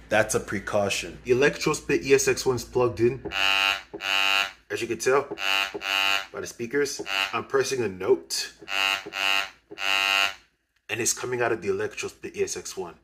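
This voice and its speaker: A man's voice: medium pitch, moderate pace, pauses often. A man casually demonstrating gear as a video host, going step by step and repeating himself. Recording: clearly noisy recording.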